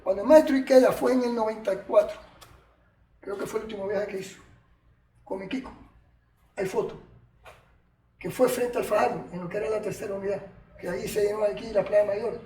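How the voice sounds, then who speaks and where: An older man from Cuba with a Cuban Spanish accent, telling animated, chatty stories from his martial arts past as an interview guest. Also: high voice, brisk, pauses often. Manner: animated, colloquial, chatty asides. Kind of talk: reminiscing storytelling. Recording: echoey room, distant mic, faint noise.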